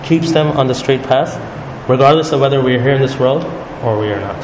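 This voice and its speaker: A man leading a religious study circle, explaining calmly and often checking in with "right?". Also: mid-range voice, fairly quick. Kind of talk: religious talk to a group. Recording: echoey hall with noise.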